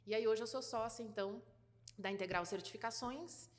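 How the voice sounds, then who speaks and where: A woman from southern Brazil with a light gaúcho accent, giving interview answers about her work and pausing often with fillers and restarts. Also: on the high side, in a large hall. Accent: light gaúcho Brazilian Portuguese. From Rio Grande do Sul, Brazil. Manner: thoughtful, many fillers and restarts. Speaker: guest, food certification business partner.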